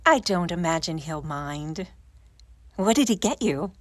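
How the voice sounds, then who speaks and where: An actress playing a brisk, kindly, motherly boarding-house keeper in an audio drama, bustling and reassuring. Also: lowish voice, expressive, darkish tone, no room sound, clean studio sound. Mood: kindly, coaxing.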